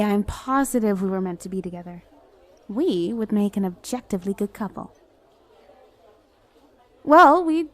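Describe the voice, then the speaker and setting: A woman's voice: mid-range voice, natural voice. Woman acting a teasing, flirty villainess. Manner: casual, playful, sardonic. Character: flirty, teasing, self-justifying villain woman courting a crush. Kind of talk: acted ASMR roleplay monologue.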